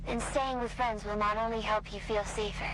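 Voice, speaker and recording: A woman's voice: mid-range voice, steady tone, normal speed, background noise, close to an everyday voice. A woman playing a safety announcer who reads a scripted, instructive message to young children.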